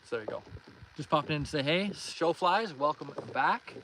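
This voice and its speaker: Relaxed young Canadian guy streaming outdoors. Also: on the high side, normal speed. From Northern Ontario, Canada. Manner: relaxed, friendly, chatty. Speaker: live-streaming fishing host. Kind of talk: casual live-stream chat, reading comments aloud. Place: outdoors on a lake in a canoe. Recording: outdoor stream with clear background noise. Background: water and boat noises.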